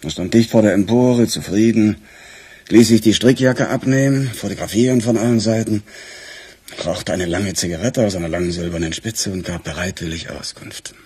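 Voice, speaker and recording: An actor playing a weary, grumbling police chief with a husky voice in a radio crime drama. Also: male voice, lowish voice, normal speed, warm tone. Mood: weary, subdued.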